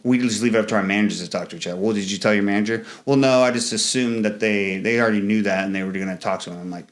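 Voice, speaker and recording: A man in his thirties, a Marine veteran guest in an interview, talking casually with many fillers and restarts and acting out quoted exchanges. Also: racing. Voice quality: fairly high voice for a man.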